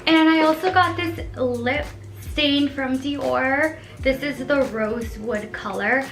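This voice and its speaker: A woman's voice: high voice, slow. Gushing woman in her twenties. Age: 20s. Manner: gushing, excitable, with frequent giggles. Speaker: beauty vlogger. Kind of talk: casual chatty haul vlog. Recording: echoey room with background music.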